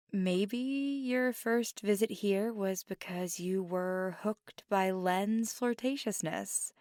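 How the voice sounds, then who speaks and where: A woman voicing a playful, mischievous, kindly witch in an intimate, unhurried ASMR monologue that slips into near-whispers. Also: mid-range voice, gravelly, darkish tone, no echo, clean studio sound, exaggerated voice. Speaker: ASMR audio performer.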